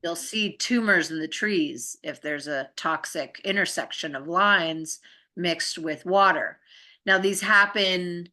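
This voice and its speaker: A woman with a notably low voice that still sounds bright and forward. She explains her ideas conversationally and earnestly, rambling informally and often checking in with the listener. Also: slow. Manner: informal, earnest, rambling with frequent tag questions.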